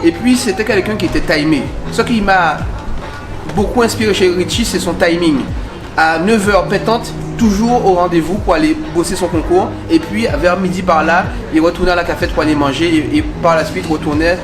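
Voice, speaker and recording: Higher-voiced man hosting in an echoey room. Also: normal speed. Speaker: host of a video channel. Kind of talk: conversational interview hosting. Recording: roomy echo, music behind. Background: music.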